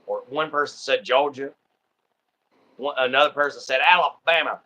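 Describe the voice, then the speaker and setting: Bright-voiced Texan man, rambling chat. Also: medium pitch, moderate pace. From Southeast Texas. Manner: rambling, easygoing, many ums and uhs. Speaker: livestream host. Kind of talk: casual chat.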